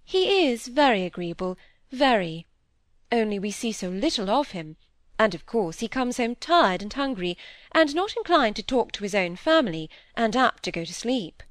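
A woman's voice: fairly high pitch, sing-song, moderate pace, no room sound. A woman voicing a genteel married lady in a period novel, gushing, affected, fawning and self-pitying. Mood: plaintive. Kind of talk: audiobook character voice in a period novel.

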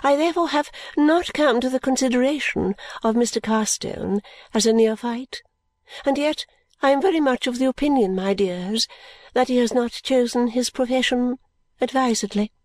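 A woman's voice: on the high side, normal speed, a little mellow, no room sound, natural voice. Woman doing a proud, lecturing lady. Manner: stately and self-assured, lecturing. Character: middle-aged woman, proud, opinionated, thrice-married. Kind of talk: audiobook reading.

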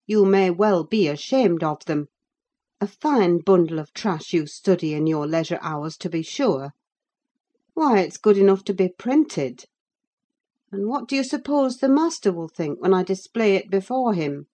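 A stern woman servant from a classic novel, acted by a female narrator, speaks with grave, scornful sarcasm. Her scolding is animated, with wide swings of pitch and a measured pace. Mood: severe. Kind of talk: audiobook character acting.

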